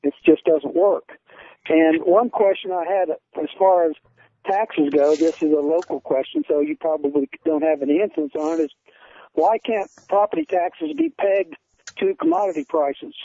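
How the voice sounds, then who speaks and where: A man's voice: a little high, normal speed, mellow. A man with an American Midwestern accent, a farmer calling in to put questions in a plain-spoken, earnest way over the telephone. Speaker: caller, wheat farmer. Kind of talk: phone-in question. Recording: dull muffled telephone line.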